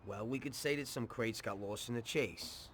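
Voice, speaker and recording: An actor playing a greedy, chummy small-time American mobster in a 1930s crime game, with slangy, cajoling streetwise talk. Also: male voice, mid-range voice, moderate pace, a bit bright, slight background noise, lightly acted. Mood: tempted, coaxing. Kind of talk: video game cutscene acting.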